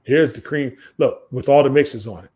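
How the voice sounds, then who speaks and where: A man's voice: medium pitch, normal speed, darkish tone, husky. A man hosting a podcast, talking casually and enthusiastically about music in emphatic, repetitive, slangy phrases. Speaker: podcast host.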